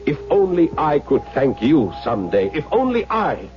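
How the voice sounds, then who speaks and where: An actor playing an exuberant, domineering young German Nazi officer, effusive and insistent, in a 1970s radio drama. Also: male voice, on the high side, very animated, moderate pace. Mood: earnest, insistent. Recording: muffled old radio broadcast, faint music.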